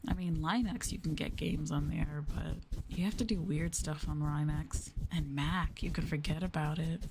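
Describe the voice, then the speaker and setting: Soft, low-pitched woman rambling in ASMR. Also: fairly even intonation, normal speed, vocal fry, background noise. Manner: gentle, hushed, rambling, drifting at times into whispers. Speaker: streamer and VTuber host. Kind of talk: soft-spoken ASMR casual chat to live viewers.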